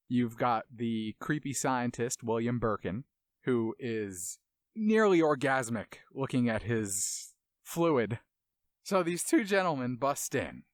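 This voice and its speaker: A man's voice: medium pitch, no echo, clean studio sound. A man hosting a video essay with casual analytical commentary, dramatic emphasis and colloquial asides.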